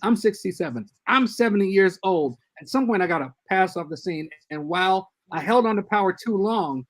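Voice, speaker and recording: An older man, a guest church leader, giving earnest and measured advice in a casual podcast discussion over a video call. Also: high voice, animated.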